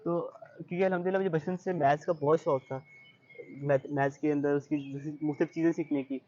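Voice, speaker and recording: A man's voice: on the high side, steady tone, fast talker, darkish tone, highs cut off. A young man with a Pakistani accent answering interview questions conversationally, explaining and giving advice with English words mixed in. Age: young adult. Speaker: interview guest, university student.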